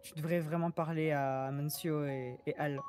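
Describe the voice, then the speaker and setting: A woman's voice: deep voice, fairly even intonation, normal speed, darkish tone, faint music behind, natural voice. A woman playing a supportive, blunt confidant, giving frank advice in casual improvised roleplay dialogue. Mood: frank, advising. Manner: frank, advising.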